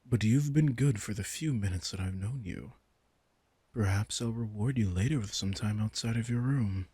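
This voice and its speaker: A man's voice: fairly low pitch, moderate pace, dark tone, husky, dry recording. A man playing a commanding, teasing vampire king in a roleplay audio drama, smug and casually menacing as he speaks straight to the listener. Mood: smug, explaining.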